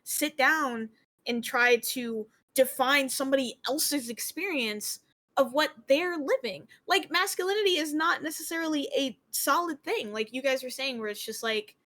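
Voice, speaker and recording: Woman streamer, slightly high voice, heated casual rant with cursing. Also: normal speed, sharp tone. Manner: passionate, swears freely, lots of fillers. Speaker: streamer on a panel. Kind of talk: casual panel chat.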